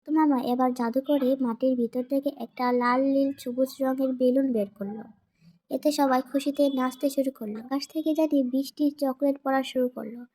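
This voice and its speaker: A woman's voice: sits high, fairly even intonation, moderate pace, mellow. A woman narrating a scripted children's cartoon story as a storyteller.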